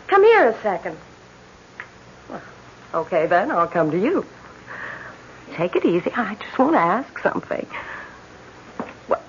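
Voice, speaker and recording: An actress with an American accent playing a plucky young wife caught among hostages in a 1970s radio drama. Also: female voice, high voice, a bit bright, exaggerated voice. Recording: muffled, noisy old radio broadcast recording.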